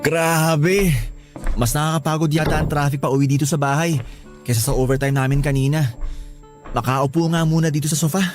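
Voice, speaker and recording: An actor playing a tired, exasperated young Filipino husband who pleads reluctantly in a radio drama. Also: male voice, a little high, steady tone, a little fast, faint music behind, natural voice. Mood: weary, grumbling.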